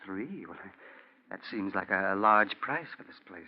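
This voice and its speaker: A man's voice: on the low side, animated, normal speed. An actor playing a mild, nervous, evasive middle-aged man in hiding, stammering hesitantly in 1940s radio drama style. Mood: nervous, flustered. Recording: muffled 1940s radio broadcast.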